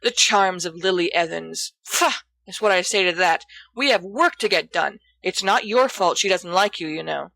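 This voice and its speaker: A woman's voice: on the low side, normal speed, creaky, pushed and bright, no echo, low-quality recording. Woman playing a brash, melodramatic teasing schoolboy. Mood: exasperated, dramatic. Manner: theatrical, mocking, emphatic. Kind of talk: expressive audiobook character dialogue.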